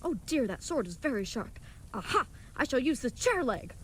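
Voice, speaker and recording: A woman's voice: sits high, very animated, moderate pace, a bit bright, slightly rough, full character voice, poor audio. A woman playing an earnest, naive, chivalrous young knight in an audio comedy, speaking with stilted, wordy politeness. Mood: eager, polite. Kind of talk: scripted audio comedy acting.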